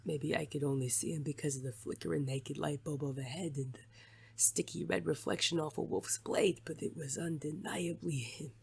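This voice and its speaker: An actor playing a gruff, defiant, world-weary detective in a noir audio drama, blunt and sardonic, with a husky rasp. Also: medium pitch, steady tone, moderate pace, darkish tone. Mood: brooding narration.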